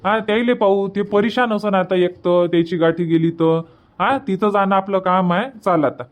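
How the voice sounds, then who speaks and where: A man voicing a practical, impatient village husband in a comic cartoon, brisk and persuasive, with a Varhadi Marathi accent. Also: high-pitched, fairly even intonation, dull audio, close to an everyday voice. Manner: brisk, persuasive, matter-of-fact.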